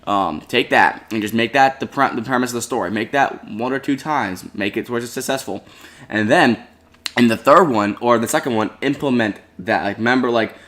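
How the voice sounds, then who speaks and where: A man co-hosting a casual chat, speaking loosely and haltingly with many fillers. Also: medium pitch, fairly bright tone. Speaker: podcast co-host.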